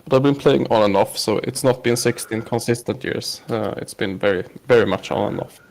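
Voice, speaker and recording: A man in his thirties from Sweden with a Swedish accent, speaking English as a second language while down with a cold, answering interview questions in a halting way with many filler pauses. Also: medium pitch, gravelly, a little mellow, poor audio. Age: 30s. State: has a cold. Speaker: podcast guest. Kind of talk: casual interview chat.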